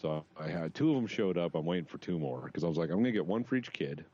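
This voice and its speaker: A man's voice: low-pitched, lively intonation, quick pace, warm tone. A man co-hosting a podcast in casual chat, slangy and profane with wry jokes, on a slightly dull recording. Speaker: podcast co-host.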